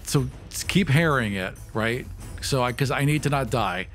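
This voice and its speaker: A man's voice: mid-range voice, expressive, moderate pace, fairly bright tone, soft background music. A man playing a tabletop roleplaying game, casually improvising narration of the action.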